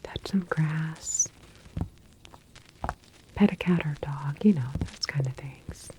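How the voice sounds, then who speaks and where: A woman whispering softly and breathily in a gentle, reassuring ASMR clinic roleplay. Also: low-pitched, slow, noisy background. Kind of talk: ASMR roleplay, soothing patient-facing talk.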